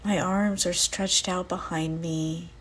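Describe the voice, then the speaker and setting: A woman guides a visualization meditation in a slightly low voice. Her delivery is very unhurried and soothing, with long pauses. The tone is soft and breathy, at times slipping toward a whisper. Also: fairly even intonation, poor audio.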